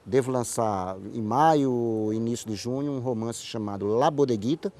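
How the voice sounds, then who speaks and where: Brazilian northeast guy, mid-pitched, chatting in an interview, tells stories and repeats himself for emphasis. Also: normal speed, warm tone. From Paraíba, Northeast Brazil. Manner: repeats words and phrases for emphasis. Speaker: writer and playwright, interview guest. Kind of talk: conversational interview answers, storytelling.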